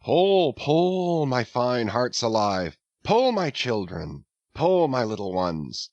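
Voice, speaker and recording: A man voicing a second mate who drawls and coaxes his rowers, breaking into high shouts. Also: huge pitch swings, a little fast, vocal fry, breathy edge, dry recording, muffled recording, heavily acted. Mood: coaxing, rowdy. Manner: drawling, soothing, then bursting into shouts.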